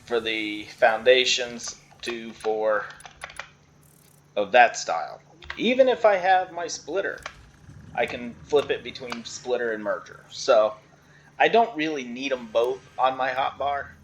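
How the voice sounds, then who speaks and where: Man, casual game commentary, slow pace, playful, laughs a lot. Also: medium pitch, a little hiss or hum. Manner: chatty, laughs often, playful. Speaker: gameplay creator.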